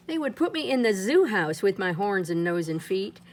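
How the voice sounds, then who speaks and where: A woman reading a rhyming children's picture book aloud in a playful, lilting way, her pitch swinging up and down. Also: sing-song, normal speed, slight background noise. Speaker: storybook reader.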